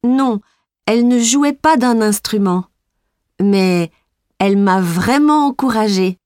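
Young Belgian pop singer, voiced by an actress, fairly high voice, very slow, careful delivery, dry studio sound. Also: female voice, a little mellow, dry recording. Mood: friendly. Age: young adult. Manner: slow, clear, carefully articulated. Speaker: interviewee. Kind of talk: scripted exam dialogue.

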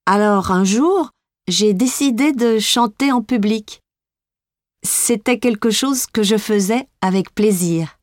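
An actress voicing a young female Belgian pop singer being interviewed, speaking slowly and clearly in a scripted exam dialogue. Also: mid-range voice, no room sound, clean studio sound, lightly acted. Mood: friendly. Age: young adult. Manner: slow, clear, carefully articulated.

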